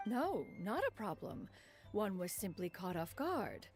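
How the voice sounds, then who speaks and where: A woman's voice: medium pitch, big pitch swings, a little slow, a little mellow. An actress playing an ageless, proud immortal master in a video game, pompous and archaic in her formal speech. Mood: proud, storytelling. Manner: pompous, archaic, formal, calls herself "one". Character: ageless proud immortal female master, haughty yet fond. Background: music.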